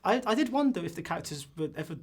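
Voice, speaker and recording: A man's voice: sits high, big pitch swings, rapid-fire, darkish tone, breathy edge. A man interviewing a film director, asking questions in a chatty, friendly way.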